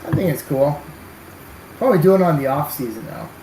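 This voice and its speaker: A man's voice: fairly high pitch, animated, a little fast, vocal fry, mellow, slight background noise, poor audio. A man talking casually about wargaming while he paints, rambling and hesitant, with many fillers. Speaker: wargaming hobbyist.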